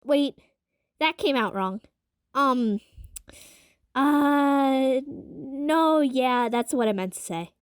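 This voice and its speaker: A woman's voice: high-pitched, drawn-out words, pauses often, clear, resonant tone, no room sound, clean studio sound. A woman playing a casual, upbeat young teenage girl who teases bluntly in an audio drama. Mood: amused, surprised. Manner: blunt, slangy, sarcastic.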